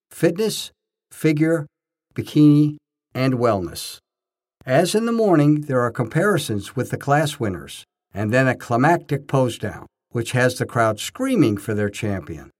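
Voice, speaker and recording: A man's voice: mid-range voice, a little mellow. A man reading scripted first-person narration, telling his own experiences as a narrator. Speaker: narrator, bodybuilding judge.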